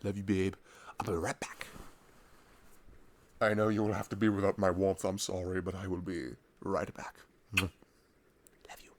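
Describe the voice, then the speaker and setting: Low creaky-voiced man, casual boyfriend ASMR. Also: level tone, normal speed, long pauses, a little hiss or hum. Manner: informal ranting, breaking into chuckles and sighs. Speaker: audio roleplay performer playing a boyfriend. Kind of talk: rambling intimate ASMR boyfriend chat.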